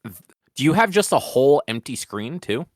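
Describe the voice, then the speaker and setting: A man's voice: mid-range voice, big pitch swings, normal speed, no echo, studio quality. A man hosting a podcast in casual chat, teasing and opinionated. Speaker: podcast host.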